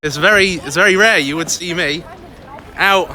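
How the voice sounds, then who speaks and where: An English man in a loud, chatty, upbeat manner, vlogging live from a busy city street into a handheld phone. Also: high voice, normal speed, some chatter behind. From England. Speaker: crypto vlogger. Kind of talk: casual live vlog. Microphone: phone held by hand, close. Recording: outdoor street noise. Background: city traffic and passers-by.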